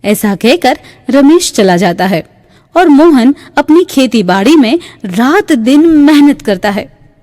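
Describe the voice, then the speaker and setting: Woman, higher voice, unhurried story narration, mellow tone, long reverb. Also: very animated. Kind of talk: slow scripted moral-story narration for a cartoon.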